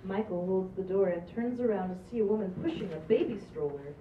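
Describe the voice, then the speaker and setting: A woman's voice: mid-range voice, expressive, fast. A woman reading out stage directions at a live script reading. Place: small stage in a room. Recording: distant, echoey, dull recording with clear noise.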